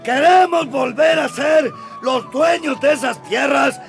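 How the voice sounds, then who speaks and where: A rebel priest near sixty in a radio play, shouting high and gravelly to his flock. He declaims slowly and fervently, with a crowd around him. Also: loud music behind the voice, heavily acted. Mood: indignant rousing. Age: older. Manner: declamatory, rousing, slow emphatic delivery. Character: fiery revolutionary village priest nearing sixty, rallying Indians. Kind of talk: radio drama oratory.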